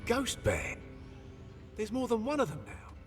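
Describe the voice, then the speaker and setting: A tech-savvy mercenary mechanic from a sci-fi game, played by a man. His voice sits fairly high and swings freely, with casual, plain-spoken phrasing over faint music. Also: expressive, a little fast, close to an everyday voice. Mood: matter-of-fact. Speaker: video game character. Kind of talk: cinematic game voice acting.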